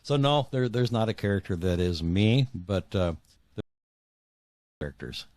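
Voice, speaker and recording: A man's voice: fairly low pitch, lively intonation, unhurried, a little mellow, dry recording, studio quality. A man answering interview questions as an author, conversational and hesitant, often rephrasing as he goes.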